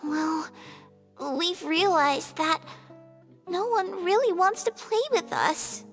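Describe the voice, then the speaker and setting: Very high, anxious little daughter. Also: female voice, slow pace, a bit bright, heavily acted. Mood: sad, hurt. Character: anxious young daughter, sweet and vulnerable. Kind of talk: dramatic video game voice acting. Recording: long reverb, music underneath. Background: music.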